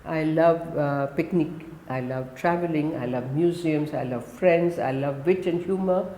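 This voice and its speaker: A woman in her seventies, a guest from India who speaks Gujarati as her first language. She talks in English with an Indian accent and gives emphatic answers in quick lists. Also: deep voice, mellow, a little hiss or hum. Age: 70s. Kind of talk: conversational interview. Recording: roomy echo.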